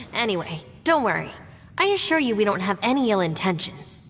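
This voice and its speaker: A woman voicing a calm, capable young female gang member in a video game, composed and matter-of-fact. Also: medium pitch, normal speed. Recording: game audio with long reverb.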